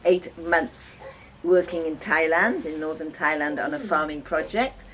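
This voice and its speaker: An older woman from Wales telling her life story casually, reminiscing in a halting, conversational way. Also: low-pitched, a bit bright. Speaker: host of guest lodging, farmer's wife. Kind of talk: casual personal storytelling to guests. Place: informal talk to visitors, filmed by a guest. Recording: noisy ambient home video.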